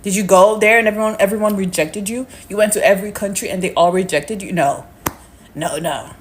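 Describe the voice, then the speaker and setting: A woman's voice: medium pitch, brisk, slight background noise. A woman speaking in an emphatic, incredulous casual monologue, full of rhetorical questions.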